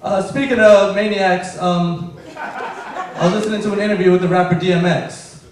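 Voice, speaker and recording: A man's voice: high-pitched, normal speed, crowd noise. A young man from Afghanistan performing a stand-up comedy set, telling stories and acting out the people in them. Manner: deadpan storytelling, acting out characters in quotes. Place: comedy club stage. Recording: very echoey room, voice heard from a distance.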